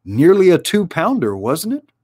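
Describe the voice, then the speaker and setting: A man's voice: fairly high pitch, wildly swooping pitch, moderate pace, darkish tone, husky, dry recording, clean studio sound, pitched above the performer's own voice, full character voice. A man voicing a hearty female otter who is a champion fisher, talking in a breezy way. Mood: admiring.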